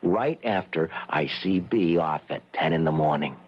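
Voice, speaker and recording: An actor in a radio drama playing a meek, henpecked bookkeeper, wistful and earnest, with a hesitant way of talking. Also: male voice, low-pitched, moderate pace, close to an everyday voice. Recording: dull lo-fi old radio recording.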